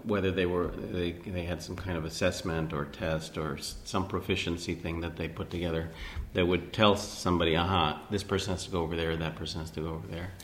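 A man's voice: sits low, level tone, fairly quick, hardly any pauses, fairly bright tone, a little hiss or hum. A man interviewing someone for an oral history, asking long, rambling questions full of restarts.

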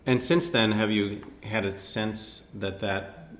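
A man's voice: lowish voice, fairly even intonation, slow pace, slight background noise. A man interviewing someone for an oral history, asking long, rambling questions full of restarts.

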